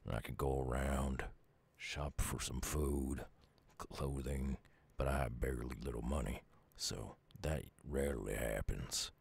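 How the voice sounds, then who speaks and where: A man acts out a gruff Southern werewolf in an intimate audio roleplay. His voice is extremely low, thick with gravel and husky. He talks softly and haltingly, a lonely creature with a gentle streak. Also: fairly even intonation, dry recording, full character voice. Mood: grateful, earnest.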